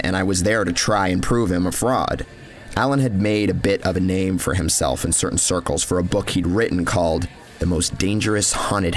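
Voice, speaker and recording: A man reading a scripted first-person horror story in a measured, dramatic and cynical manner. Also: deep voice. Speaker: narrator. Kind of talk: scripted first-person horror fiction narration with acted dialogue.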